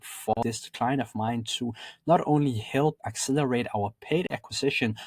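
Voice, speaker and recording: A man's voice: mid-range voice, a little mellow, no echo. A man from Denmark with a Danish accent gives an explanatory video presentation as a marketing consultant, using formal, wordy phrasing with emphatic openers.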